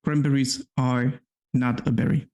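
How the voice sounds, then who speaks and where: Gravelly man. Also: mid-range voice, level tone, unhurried, dark tone. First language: Slovak. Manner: explains at length with many restarts. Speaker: podcast co-host. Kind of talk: casual chat.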